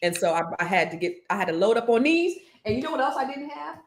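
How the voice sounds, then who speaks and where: Animated laughing woman host. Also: fairly high pitch, fast. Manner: laughs often, animated storytelling. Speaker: podcast host. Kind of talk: casual chat.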